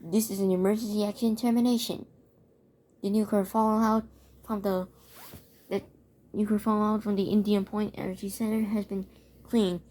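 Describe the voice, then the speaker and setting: A woman reading a scripted emergency broadcast announcement in a halting way, repeating and stumbling over words. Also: mid-range voice, little pitch movement, dark tone, poor audio.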